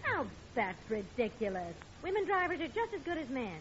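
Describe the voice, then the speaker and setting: A woman playing an exasperated, sharp-witted American wife and mother in a 1950s radio sitcom, dry and reproving. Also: high-pitched, big pitch swings, a little fast. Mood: indignant. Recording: dull old radio recording, faint noise.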